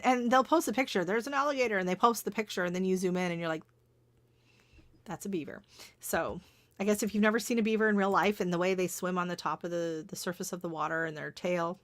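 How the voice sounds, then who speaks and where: A middle-aged Canadian woman hosting a casual livestream chat, laughing often and greeting viewers by name, her voice starting to go hoarse. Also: lowish voice, fairly bright tone, no room sound. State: voice going hoarse. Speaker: gardening channel host.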